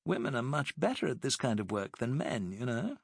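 A man voicing a poised British woman working as a secret agent, speaking crisply and persuasively. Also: mid-range voice, big pitch swings, fairly quick, dry recording, clean studio sound, exaggerated voice.

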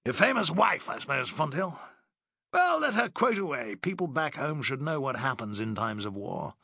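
A man's voice: on the high side, very animated, normal speed, vocal fry, sharp tone, breathy edge, no room sound, pitched above the performer's own voice, heavily acted. A condescending British colonel waves off complaints in a breezy, raised voice, as a male narrator acts him out. The reading is close and dry, like a storybook. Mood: dismissive. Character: dismissive middle-aged British colonel.

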